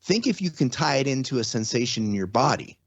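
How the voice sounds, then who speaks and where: A middle-aged man in relaxed conversation who rambles and restarts his sentences as he works toward his point. The recording is dry and a little dull. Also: medium pitch, fast, gravelly, no room sound. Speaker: podcast guest. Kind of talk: casual conversation. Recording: slightly dull, dry sound.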